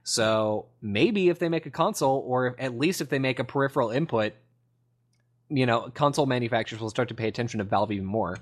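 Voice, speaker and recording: A man chats as a podcast host in a casual, unscripted style. He has a middling pitch and explains things enthusiastically, wandering a little as he goes. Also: brisk. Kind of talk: casual gaming podcast chat.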